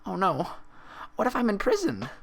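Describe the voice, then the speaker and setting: A man acts out a childlike female cartoon pony, pitched far above a normal male voice. The delivery is fragile and halting, with stammers and a pleading tone, as in a dramatic story reading. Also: wildly swooping pitch, creaky, fairly bright tone, full character voice. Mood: panicked.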